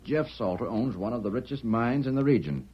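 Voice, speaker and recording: An actor playing a cocky, domineering swindler in an old radio western, curt and sure of himself. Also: male voice, medium pitch, animated, brisk, slightly rough. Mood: smug. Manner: curt, confident, bossy. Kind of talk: 1940s radio western acting. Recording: dull old radio recording.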